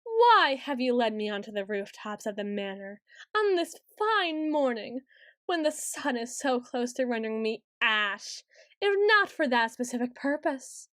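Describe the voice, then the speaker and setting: High-voiced haughty vampire noblewoman, acted by a woman. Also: very animated, measured pace, fairly bright tone, dry recording, studio quality. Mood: teasing, suspicious. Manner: theatrical, formal, archaic, condescending phrasing. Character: haughty, centuries-old tsundere female vampire noble. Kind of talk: ASMR roleplay monologue.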